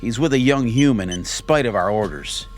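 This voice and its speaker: An actor playing an impatient, ruthless alien officer in a radio drama, speaking in a curt, formal manner. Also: male voice, mid-range voice, lively intonation, normal speed, lightly acted. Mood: formal, contemptuous.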